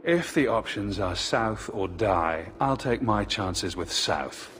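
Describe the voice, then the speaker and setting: An actor playing a wary young adventurer in a fantasy video game, dry and guarded. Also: male voice, lowish voice, expressive, slow pace, noisy background. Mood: grim, resigned. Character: wry, wary young adventurer protecting his family.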